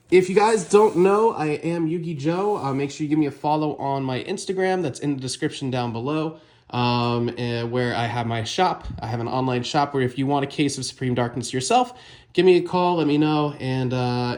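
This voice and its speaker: A man's voice: medium pitch, a little mellow. A man with a cold, sounding a bit nasal, chatting casually while he opens trading card packs for his channel. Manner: chatty, many ums and uhs. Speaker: card collector opening booster packs. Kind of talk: casual unboxing vlog.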